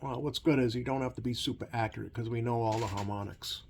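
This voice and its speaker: A man giving a casual hands-on demonstration as a repair technician, talking in a hesitant, rambling way with many ums and pauses. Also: mid-range voice, fairly even intonation, creaky, darkish tone. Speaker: CB radio repair technician hosting a video. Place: repair workbench indoors. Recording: noisy room tone behind voice.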